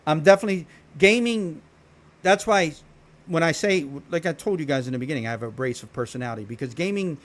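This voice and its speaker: A man's voice: a little high, quick pace. A man, a live streamer telling stories to his viewers in a blunt, profane, rambling way with lots of restarts. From Philadelphia. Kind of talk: casual storytelling chat to stream viewers.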